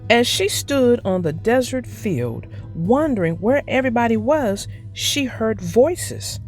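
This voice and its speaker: A woman narrating a children's read-aloud as storyteller, expressive and deliberate. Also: on the high side, faint music behind.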